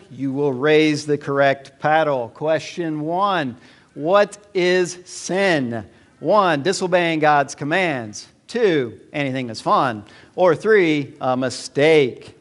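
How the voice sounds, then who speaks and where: Man playing lively kids' quiz show host. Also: on the high side. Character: upbeat children's Bible quiz show host. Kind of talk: lively game show hosting.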